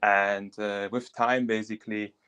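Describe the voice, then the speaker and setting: Guy with german-french accent, native german/french, kinda low voice, careful and a bit unsure. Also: little pitch movement, measured pace, bright, forceful tone, clean tone. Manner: careful, apologetic, a little hesitant. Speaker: guest. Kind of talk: casual interview talk.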